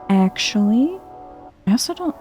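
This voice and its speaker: A soft-spoken woman giving a chill, quiet ASMR-style commentary as she plays a video game. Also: on the high side. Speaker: gaming video host.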